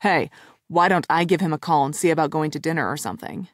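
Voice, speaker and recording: A woman voicing a cheerful, easygoing young man playing matchmaker, in a slightly lower, relaxed tone. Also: quick pace, dry recording, studio quality. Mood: eager.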